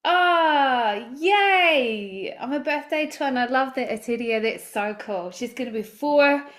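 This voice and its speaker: A woman in her forties speaking in a slightly higher register, chatty and upbeat as she talks to her livestream viewers. She coaches people and rambles warmly through restarts and fillers. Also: expressive, takes it slowly. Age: 40s. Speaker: life coach. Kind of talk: casual livestream talk.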